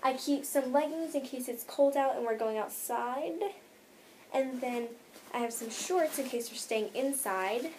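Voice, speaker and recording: A woman's voice: sits high, unhurried, darkish tone, a little hiss or hum. A teenage girl with an American accent chatting casually to a camera in a bedroom, rambling and saying "like" often. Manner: chatty, rambling, filler-heavy with frequent "like". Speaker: vlogger. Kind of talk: casual chat to camera, showing bag contents. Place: bedroom, indoors.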